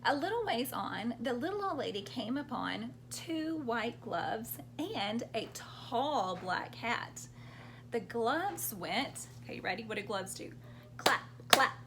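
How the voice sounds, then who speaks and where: Lively, slow-paced woman telling children's stories. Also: a little high, a little hiss or hum. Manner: interactive, prompting children with questions and sound words. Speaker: children's storyteller. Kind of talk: expressive read-aloud storytelling for young children.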